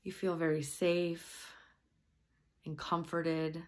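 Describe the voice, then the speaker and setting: A woman softly and gently leading a guided meditation, at times slipping into a breathy near-whisper. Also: low voice, little pitch movement, takes it slowly.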